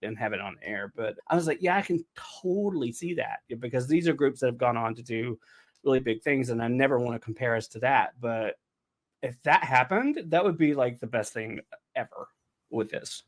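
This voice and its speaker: A man chatting casually on a panel, telling stories in an animated way and acting out his own reactions. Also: mid-range voice, fairly quick. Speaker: panelist on a behind-the-scenes show. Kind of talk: casual chat.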